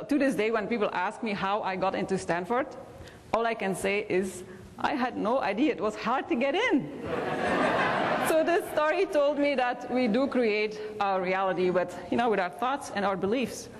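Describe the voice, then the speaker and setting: A woman from Belgium with a Belgian accent, telling personal stories as a convention speaker, with small hesitations. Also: a little high. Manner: warm anecdotal storytelling with hesitations. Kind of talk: motivational keynote storytelling. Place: large convention hall. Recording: reverberant convention hall.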